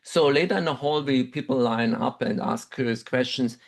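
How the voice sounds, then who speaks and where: A middle-aged man with a German accent and German as his first language, telling a personal story in a measured, reflective way with frequent pauses and ums. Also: mid-range voice, gravelly. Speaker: webinar speaker, dental researcher.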